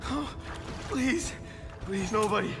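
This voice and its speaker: A panicky low-level crook in a video game. He stammers and pleads in a high, whiny voice that jumps around in pitch. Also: animated, takes it slowly, background noise, exaggerated voice. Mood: frightened, pleading. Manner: stammering, frightened, whiny protesting. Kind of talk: video game cutscene acting.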